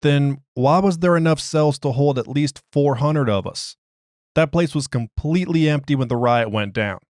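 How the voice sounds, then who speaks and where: A man's voice: on the high side, normal speed, darkish tone, dry recording, clean studio sound, lightly acted. A man voicing an agitated, streetwise prisoner who argues his case in slangy, ungrammatical, exasperated speech. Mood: urgent, accusing. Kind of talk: dramatized interview log.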